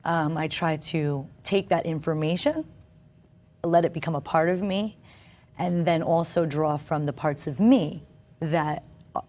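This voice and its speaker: A woman's voice: low voice, measured pace, darkish tone. A woman actress talking as a guest on a TV interview show, earnest, with fillers and restarts. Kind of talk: casual interview talk. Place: television studio.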